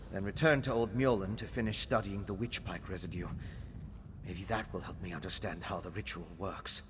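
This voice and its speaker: A man voicing a thoughtful, scholarly alchemist in a fantasy video game, speaking in a measured, pondering way. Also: lowish voice, fairly bright tone. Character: thoughtful scholarly male alchemist investigating dark magic. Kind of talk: video game dialogue acting. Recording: long hall reverb, clear background noise.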